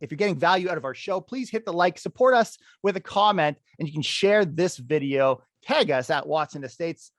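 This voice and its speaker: A man's voice: fairly high pitch, expressive, brisk, hardly any pauses, dry recording. A man hosting an interview show, greeting his guest and asking questions in an upbeat, friendly way. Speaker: host.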